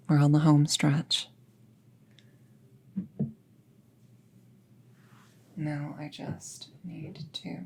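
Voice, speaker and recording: A woman playing a friendly, chatty young apprentice witch in an intimate roleplay audio, musing casually in a soft, husky, breathy voice. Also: low-pitched, heavily acted. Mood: relaxed, focused. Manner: casual, musing, softly breathy, often near a whisper.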